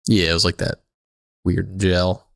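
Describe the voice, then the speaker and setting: A man co-hosting a podcast with casual, comedic chat, rambling and halting as he tells stories. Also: low-pitched, flat delivery, creaky, dry recording, studio quality. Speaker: podcast co-host.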